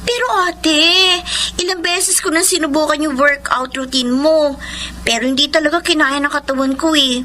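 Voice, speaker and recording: A girlish, insecure younger sister in a radio drama. The voice is high and piercingly bright, plaintive and full of adoration, with some noise behind it. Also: moderate pace. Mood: self-pitying. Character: insecure, adoring teenage younger sister, schoolgirl. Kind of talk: Filipino radio drama acting.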